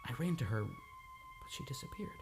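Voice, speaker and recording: A man playing a troubled, agitated amnesiac in an old-time radio drama, speaking haltingly and repeating his words. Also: medium pitch, sing-song, vocal fry. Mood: haunted, intense. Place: radio studio, live radio play. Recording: dramatic music under the voice.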